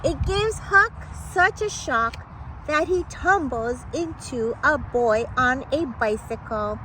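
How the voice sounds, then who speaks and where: A woman with a high voice reads a children's picture book aloud over clearly noisy ambience. She takes her time, delivering the story in a playful, dramatic way with exclamations and pauses for suspense. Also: expressive, pushed and bright.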